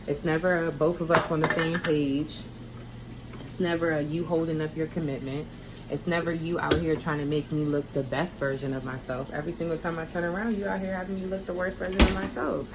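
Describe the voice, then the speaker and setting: Low-voiced blunt woman, noisy room. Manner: blunt, animated, talks straight to her viewers. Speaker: vlogger. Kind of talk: candid talking-to-camera chat. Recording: room noise behind the voice.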